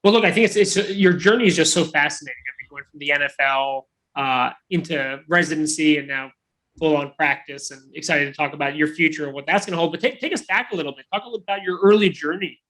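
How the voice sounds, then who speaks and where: The quick, fairly high-voiced man you would hear hosting a chatty online interview show over morning coffee. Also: fairly bright tone, rough recording.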